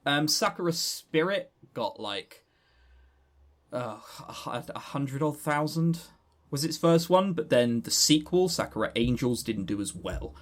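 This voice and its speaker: A man with a southern English accent co-hosting a casual gaming news chat, animated, sardonic and sweary in manner. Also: on the high side, a little slow. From southern England. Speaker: podcast co-host.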